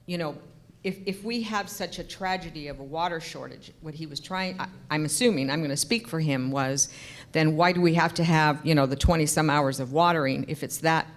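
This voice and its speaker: A woman representing a workers' union, speaking loudly and assertively from the floor at a public forum. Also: low voice. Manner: loud, assertive, defends others' points. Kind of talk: public comment at a town forum.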